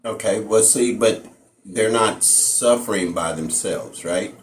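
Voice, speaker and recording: A man's voice: fairly low pitch, takes it slowly, slight background noise. A man calling into a debate stream, questioning the host with probing questions. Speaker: caller.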